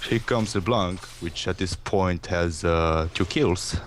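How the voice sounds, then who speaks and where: A man's voice: deep voice, slow pace, a little hiss or hum. A man giving casual, wry play-by-play commentary on a video game.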